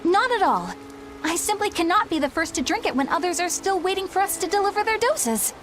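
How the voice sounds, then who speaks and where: A polite earnest young woman exorcist, high voice, formal careful speech. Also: animated, brisk, fairly bright tone, faint music behind. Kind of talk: game dub acting.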